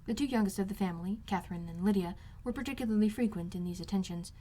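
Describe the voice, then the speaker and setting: A woman's voice: mid-range voice, quick pace, dark tone. A woman reading a classic novel aloud as scripted literary narration. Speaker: amateur audiobook reader.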